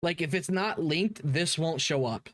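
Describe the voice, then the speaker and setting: A man's voice: a little high, measured pace. A man giving casual live commentary as a streamer, informal and emphatically repeating himself. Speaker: streamer reacting to a game video.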